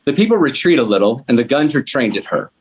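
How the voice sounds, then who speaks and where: A man narrates a script at a table read, giving scene headings and action in a measured, plain delivery. His pitch sits in the middle range for a male voice. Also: sing-song, breathy edge. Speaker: stage directions reader.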